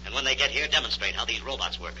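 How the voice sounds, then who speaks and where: An unseen crime fighter in a vintage radio thriller. He is stern, authoritative and declamatory, with a very sharp, forceful tone and faint noise on the old recording. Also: mid-range voice, animated, quick pace, poor audio. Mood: firm, grave. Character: stern, invisible male crime-fighting hero. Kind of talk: 1940s radio mystery acting.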